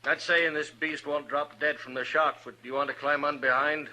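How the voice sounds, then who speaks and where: A man's voice: on the high side, level tone, normal speed, sharp tone. An American man playing a weary, folksy Old West drifter in an old-time radio western, with rustic, laconic speech. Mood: dry, folksy. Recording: noisy vintage radio recording.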